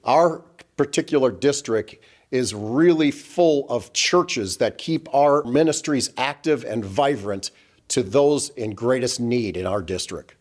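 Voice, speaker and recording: Guy reading out his district update, formal and steady, mid voice. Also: medium pitch. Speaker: district council member. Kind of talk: prepared address.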